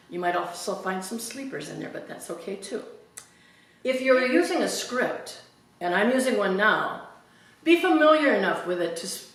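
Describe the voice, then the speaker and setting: A woman's voice: mid-range voice, a bit bright. A woman giving a public speaking lesson at a podium, speaking carefully and enunciating each word. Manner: careful, deliberate, clearly enunciated. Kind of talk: instructional demonstration at a podium. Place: church hall, speaking at a podium. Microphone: camera at a distance. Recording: very echoey hall from far off, faint noise.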